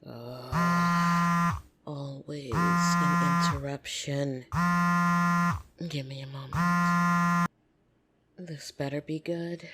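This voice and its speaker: A woman voicing a lonely, flirtatious vampire in an ASMR roleplay, soft and breathy, teasing and intimate with hesitant pauses, tender yet easily irritated. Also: low voice, heavily acted. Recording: soft piano music behind.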